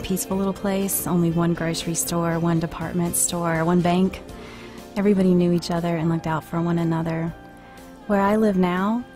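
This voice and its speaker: A woman's voice: lowish voice, dark tone, lightly acted. A woman playing an earnest, troubled TV star in an amateur audio play, hesitant and uneasy. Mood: wistful, curious. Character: uneasy, bewildered TV star woman in surreal drama. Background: music.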